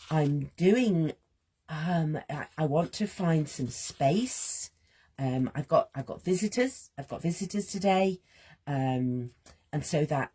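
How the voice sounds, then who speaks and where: A woman hosts a gentle, reflective art session in a low, husky voice that sounds a little strained. She speaks slowly and muses aloud with pauses, her pitch moving freely up and down. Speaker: host of a creative healing art session. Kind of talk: gentle guided reflection while drawing.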